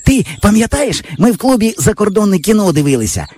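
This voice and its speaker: A man's voice: high voice, brisk, slight background noise, pitched above the performer's own voice. A man doing an eager, boastful village boy. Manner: eager, excited, persuasive. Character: boastful, inventive village boy, about twelve. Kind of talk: audiobook character voice.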